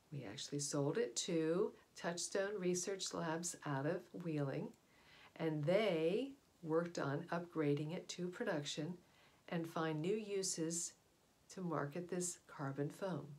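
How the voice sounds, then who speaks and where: Middle-aged American woman reading aloud, lowish voice. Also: a little mellow. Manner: clear storytelling delivery with questions to the listener. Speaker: read-aloud presenter. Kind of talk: reading aloud to children with explanatory asides. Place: indoor room at home.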